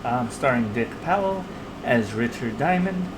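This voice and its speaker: A man hosting a livestream with casual, halting, unscripted chat. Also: medium pitch, expressive, noisy background. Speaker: livestream host.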